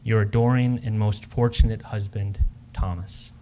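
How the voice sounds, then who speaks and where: A man's voice: fairly low pitch, steady tone, moderate pace, dark tone, slight background noise, natural voice. A man playing a devoted Civil War soldier, earnestly reading a formal love letter to his wife. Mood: tender, adoring. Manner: earnest, formal, old-fashioned phrasing.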